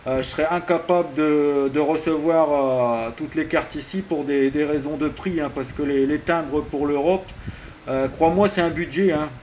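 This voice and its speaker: Higher-pitched man chatting, faint background noise. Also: fairly even intonation, moderate pace, a little mellow, rough recording. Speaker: amateur radio operator. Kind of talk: casual radio contact chat.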